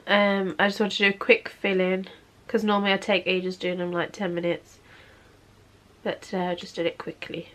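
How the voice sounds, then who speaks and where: A woman, sniffly, talking through a makeup tutorial in a casual, chatty way from her bedroom. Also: mid-range voice. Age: 20s. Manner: chatty, keeps saying "obviously". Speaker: makeup vlogger. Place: bedroom, sitting on a bed.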